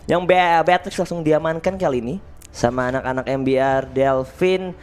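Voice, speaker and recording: A man's voice: mid-range voice, normal speed, crowd noise. A young man casting an esports match, analysing the draft picks at length. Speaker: esports caster.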